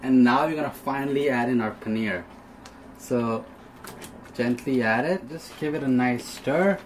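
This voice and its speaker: A man's voice: medium pitch, unhurried, slight background noise. A young man giving a casual, step-by-step cooking demonstration as host in a small home kitchen. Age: young adult. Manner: explains step by step.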